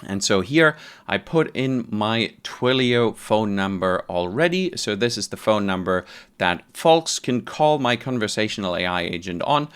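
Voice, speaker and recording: Low, clear-voiced man giving a tutorial. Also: expressive, a little slow, a bit bright. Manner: conversational, informal, explains step by step. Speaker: developer advocate presenting a tutorial. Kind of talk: casual software tutorial narration.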